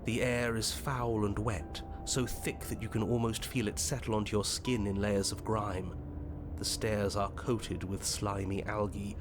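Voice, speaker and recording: A man playing a weary, exasperated, stern unseen narrator in a game, speaking dryly and deliberately. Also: on the low side, flat delivery, background noise, close to an everyday voice. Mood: grim, ominous. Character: weary, exasperated, imperious unseen storyteller spirit.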